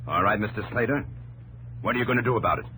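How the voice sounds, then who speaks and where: An actor playing a defiant working-class mill hand with rough, plain talk in a 1950s radio drama. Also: male voice, fairly low pitch, rapid-fire, pushed and bright. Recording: noisy old radio broadcast.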